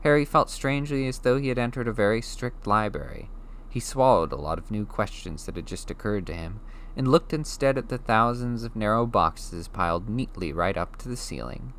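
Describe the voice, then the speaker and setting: A man reads a storybook aloud in a calm, steady, measured way. His voice sits on the low side. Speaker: audiobook reader. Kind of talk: storybook narration.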